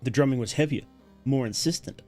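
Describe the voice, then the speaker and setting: A man narrating a horror story as a livestreamer, dramatic and solemn, in deliberate, weighted phrases. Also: medium pitch, a little mellow, faint music behind.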